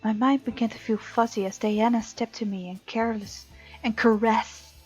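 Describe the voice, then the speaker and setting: A woman hosting a let's play, reading game text aloud dramatically and reacting with animated sass. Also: fairly high pitch, normal speed, husky. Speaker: gaming channel host. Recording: slightly dull, lo-fi, game music behind. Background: soft game music.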